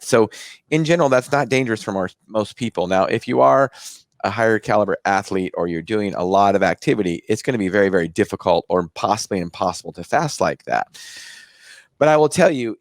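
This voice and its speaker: An American man with a fairly low voice talks as the host of a health show. His delivery is conversational and explanatory, circling back over phrases as he goes. The sound is very dry, with no room echo. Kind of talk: conversational interview talk.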